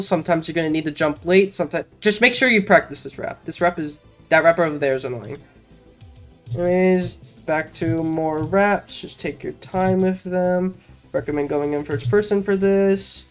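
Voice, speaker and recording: A casual game commentator talking through a walkthrough in an informal way, with self-mocking asides. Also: medium pitch. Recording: music behind the voice. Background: game music.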